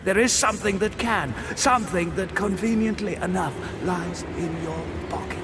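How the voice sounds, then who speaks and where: An actor playing a sinister, bodiless dark wizard in a video game, with a menacing, theatrical delivery. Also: male voice, high voice, normal speed. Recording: music behind the voice.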